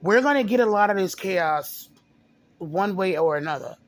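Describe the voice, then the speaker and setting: A man with a slightly raised pitch talks straight to camera in a casual monologue. His throat is a little sore, and he speaks haltingly, restarting phrases and filling pauses with "um.". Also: lively intonation. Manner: halting, repeats words, many fillers. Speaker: video blogger.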